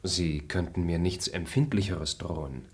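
Lofty, moralizing traveller. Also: male voice, low voice, unhurried, darkish tone. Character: noble, high-minded male traveller. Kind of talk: radio drama acting.

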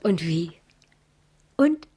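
A woman playing an emotional yet questioning partner in a radio drama, with expressive exclamations. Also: on the low side, drawn-out words, husky, heavily acted. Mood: excited, moved. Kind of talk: 1970s German radio drama acting.

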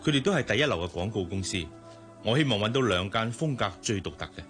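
An actor playing a businesslike, authoritative general manager in a radio drama, formal and instructive, with a Hong Kong Cantonese accent. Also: male voice, on the low side, lively intonation, fast, a bit bright, soft background music.

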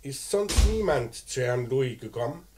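An actor playing a calm, methodical police commissioner in a vintage radio crime play, terse and probing. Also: male voice, medium pitch, moderate pace, soft background music, lightly acted. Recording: echoey, noisy old radio recording.

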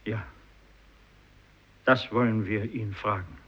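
A solemn, accusing fisherman in a vintage German radio play. He speaks very slowly in a husky voice, with deliberate repetition. The recording is dull and aged. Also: lowish voice. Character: grave, accusing Japanese fisherman questioning God. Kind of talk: 1950s German radio drama acting.

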